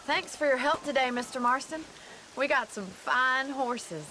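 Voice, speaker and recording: An actress playing a spirited, plainspoken young rancher's daughter in a western video game. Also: female voice, high voice, unhurried, sharp tone, slight background noise, poor audio. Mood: plainspoken, friendly.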